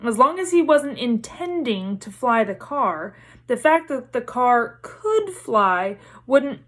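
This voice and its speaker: Lady doing mild hesitant wizard dad in a storybook, kinda high, sheepish but keen. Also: very animated, takes it slowly, heavily acted. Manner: hesitant, good-natured. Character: mild, sheepish, enthusiastic middle-aged wizard father.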